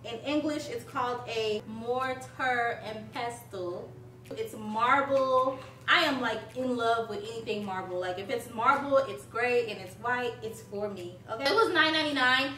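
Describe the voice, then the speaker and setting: Young woman vlogger from Haiti, bright, chatty and bubbly, seated on a couch, recorded roomy from a distant camera mic. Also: fairly high pitch, a little slow. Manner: chatty, bubbly, talks to viewers with many "like"s. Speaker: home decor vlogger. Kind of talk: casual haul video. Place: living room, seated on a sofa.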